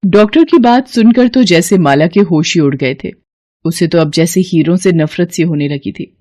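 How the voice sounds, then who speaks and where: A woman giving expressive scripted narration of a children's moral story. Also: fairly low pitch, moderate pace.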